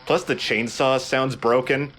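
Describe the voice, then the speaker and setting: A man's voice: medium pitch, fairly even intonation, measured pace, a bit bright, slight background noise. A man hosting a let's play with casual, informal commentary, enthusiastic about small details. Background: game music.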